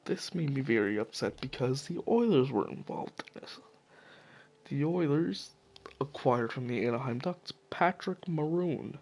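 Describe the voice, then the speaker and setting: A man talks through the news on his own in a loose, cursing way and trips over names now and then. His voice is gravelly and worn, as if it is slowly giving out. His pitch sits in an ordinary middle range. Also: lively intonation, darkish tone. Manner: profane, offhand, stumbles over names. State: losing his voice. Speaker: hockey video commentator. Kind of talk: casual solo commentary.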